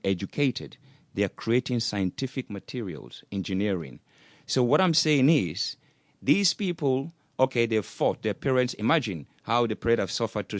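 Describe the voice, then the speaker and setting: A man's voice: lowish voice, no room sound. A man co-hosting a radio talk show, making his case earnestly and circling back with "what I'm saying is".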